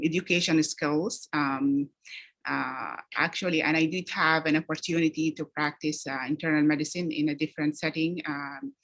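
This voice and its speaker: Woman, doctor in a mock interview, low voice, halting, lots of fillers, video call audio. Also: fairly bright tone. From Sudan. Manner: measured, hesitant, many ums and uhs. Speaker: physician interviewee. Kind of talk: practice job interview.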